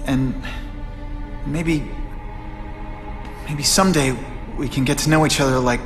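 An actor playing an earnest, wisecracking young male superhero in a video game, expressive in delivery. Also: mid-range voice, unhurried, close to an everyday voice. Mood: earnest concern. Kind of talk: hero acting in a video game. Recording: reverberant, faint music.

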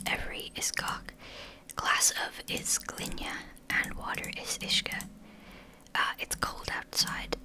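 A woman, whispering casually through language-app practice, halting and swearing now and then. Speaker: language learner.

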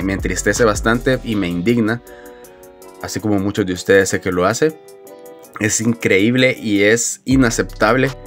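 A man from El Salvador with a Salvadoran accent, hosting a sports news commentary show and addressing viewers. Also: lowish voice. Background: music.

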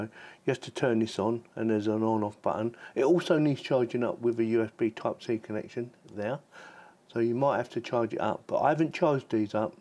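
A man with a mid-pitched voice presents a hands-on tech review. He talks in an informal, chatty way, as if thinking aloud while he shows how things work. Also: warm tone. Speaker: tech reviewer and channel host. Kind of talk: casual product review and demonstration.

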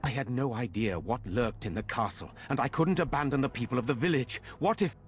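An actor playing a grave, troubled middle-aged nobleman with a formal, deliberate manner. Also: medium pitch, lightly acted.

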